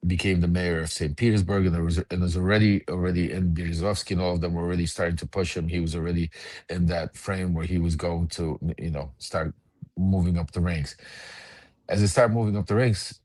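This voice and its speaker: Deep-voiced rambling man, dry sound. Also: fairly even intonation, brisk, darkish tone, no room sound. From Soviet Union. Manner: rambling, frequent restarts and self-corrections. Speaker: panel guest. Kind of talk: casual discussion.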